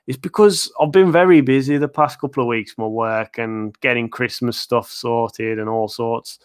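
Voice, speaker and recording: A man's voice: mid-range voice, clear, resonant tone. Man rambling through a live-stream Q&A. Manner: rambling, lots of "uh" and "like", quick chuckles. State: losing his voice. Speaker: theme park video creator. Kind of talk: live-stream Q&A, casual chat. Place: at home, in his living room.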